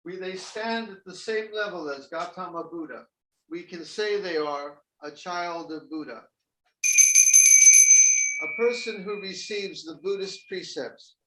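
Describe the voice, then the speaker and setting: Gentle high-voiced man officiating, echoey. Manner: gentle, formal, with halting fillers. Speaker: Zen teacher leading an ordination ceremony. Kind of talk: ceremonial officiating speech. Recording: echoey and distant with faint noise.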